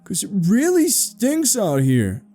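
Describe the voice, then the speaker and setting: Man acting a scared little boy, shifted way up high, whiny, stubborn, indignant, storybook character. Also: lively intonation, moderate pace, warm tone, soft background music. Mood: disgusted, indignant. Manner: pleading, indignant, childish exclamations.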